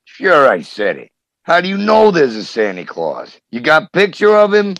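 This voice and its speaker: A man's voice: on the high side, huge pitch swings, moderate pace, a bit bright, no room sound, dull audio, full character voice. A man playing a surly, stubborn suspect, defiant and slangy, in a comic radio crime drama. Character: surly, stubborn male wise-guy suspect.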